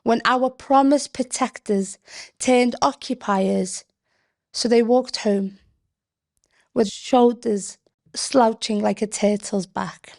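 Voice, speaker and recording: Higher-voiced woman reciting poetry. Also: fairly even intonation, slow pace, vocal fry, a little mellow. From Britain, of Yemeni family. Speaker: poet. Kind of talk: spoken-word poetry reading.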